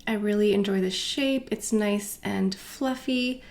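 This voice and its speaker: A woman's voice: mid-range voice, a little slow, darkish tone. Chatty woman unboxing beauty products. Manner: chatty, rambling, with many asides and fillers. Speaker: beauty vlogger. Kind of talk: casual product unboxing chat.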